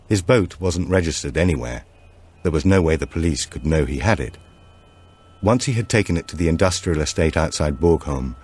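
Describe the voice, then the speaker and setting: A man with a deep voice narrates a suspense thriller audiobook. His reading is scripted and measured, with deliberate phrasing. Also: darkish tone, slightly rough.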